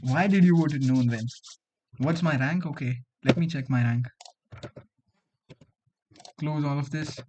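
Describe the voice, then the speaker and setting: Young Indian man, higher-pitched, casual streamer banter. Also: unhurried, pauses often, noisy background. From Delhi, India. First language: Hindi. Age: 20s. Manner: slangy, bantering, often says bro. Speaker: livestream host, student coder. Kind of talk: casual livestream chat with viewers.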